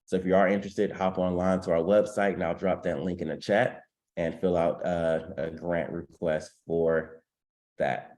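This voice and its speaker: Deep-voiced congested guy running a webinar over a video call, says um a lot. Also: level tone, moderate pace, a little mellow. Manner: frequent ums, promotional. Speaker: webinar host.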